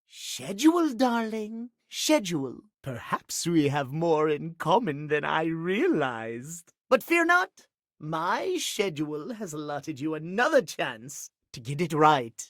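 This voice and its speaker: A man's voice: a little high, sing-song, slow pace, a bit bright, dry recording, studio quality, exaggerated voice. A male actor voicing a fussy, romantic timepiece in a video game, with formal, theatrical, precise diction. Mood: flirtatious, prim. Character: fussy, prim, schedule-obsessed male timepiece, romantic dandy. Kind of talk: video game character acting.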